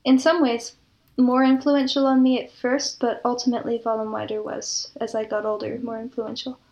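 A woman speaking as an interview guest in casual, reminiscing conversation, with a thoughtful, measured way of talking. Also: on the high side, little pitch movement, gravelly, darkish tone, dull audio. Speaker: interview guest, composer and audio drama producer.

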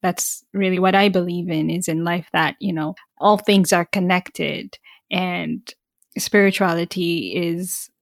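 A woman's voice: lowish voice, fairly even intonation, a little slow, creaky, no room sound. Affirming female host, dry close sound. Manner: warm, affirming, gushing. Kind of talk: interview, casual chat.

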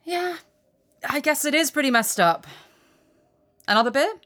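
A woman's voice: high-pitched, animated, normal speed, fairly bright tone. A woman voicing a jaded celebrity TV presenter in an audio drama, dry, offhand and cynical.

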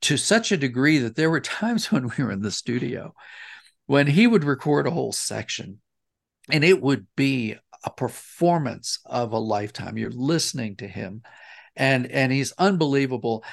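A man with a medium-pitched, gravelly voice speaks as an interview guest. He is conversational and thoughtful, rephrasing as he explains. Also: lively intonation, normal speed. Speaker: interview guest, audio drama writer and director.

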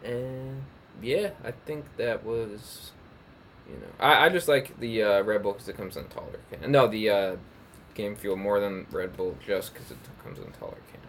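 Male podcast co-host, lowish voice, relaxed and halting with fillers, faint noise floor. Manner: laid-back, hesitant, many fillers. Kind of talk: casual chat.

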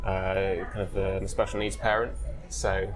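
A man's voice: low-pitched, flat delivery, moderate pace, music in the background. A man speaking as a guest in a casual interview, laid-back and upbeat. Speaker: guest, freelance designer.

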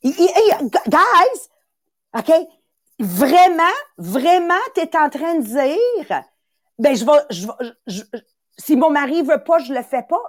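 A Quebec-accented woman in her sixties gives a motivational talk, animated and emphatic. She circles back to the same phrases and slips into quick little acted voices. Her pitch sits fairly high and leaps around a great deal, and the recording is dry with no room sound. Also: no echo. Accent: Quebec French. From Italy. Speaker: podcast host and sales coach.

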